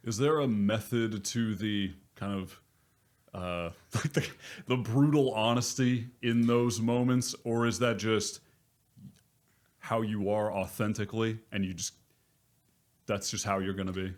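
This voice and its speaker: Lowish-voiced casual male interviewer. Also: steady tone, measured pace, a little mellow.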